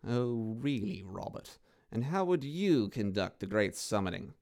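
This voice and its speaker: A haughty undead master of a cult, played by a man, low and dark in tone. He speaks with stern contempt, every word weighed and proud. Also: big pitch swings, measured pace. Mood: contemptuous.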